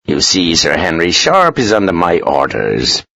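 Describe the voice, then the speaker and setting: A man voicing a calm, diplomatic Irish army captain with a reasonable, deferential manner and an Irish accent. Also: low voice, a little slow, creaky, dry recording, studio quality, pitched below the performer's own voice, heavily acted. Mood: amiable, persuasive.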